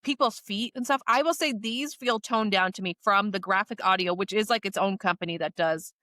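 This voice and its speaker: A woman's voice: mid-range voice, fairly quick, bright, forceful tone, no echo, studio quality. A woman co-hosting a podcast in casual conversation, acting out her reactions as quotes as she talks. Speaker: podcast co-host. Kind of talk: casual chat discussing books and audiobooks.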